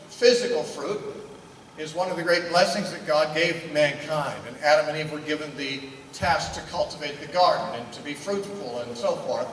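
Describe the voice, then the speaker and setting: Older male preacher, high-pitched, teaching tone, asks and answers his own questions, echoey hall, heard from a distance. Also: fairly bright tone, slight background noise. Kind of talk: sermon. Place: indoor meeting room. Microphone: handheld mic.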